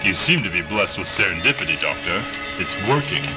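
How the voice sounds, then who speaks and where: With music playing behind, a man acts a stern starship commander in a sci-fi audio drama. The voice is fairly low and formal, clipped like an officer's, with animated rises and falls. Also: a little fast. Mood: focused, dry. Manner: formal, clipped military delivery. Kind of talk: science-fiction audio drama acting. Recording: music bed under the voice.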